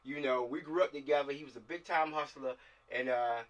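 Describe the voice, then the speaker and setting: A man's voice: medium pitch, fairly quick. A man chatting casually and directing actors over a video call, slangy and often repeating himself for emphasis. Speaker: filmmaker leading a script table read. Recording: distant mic on video call.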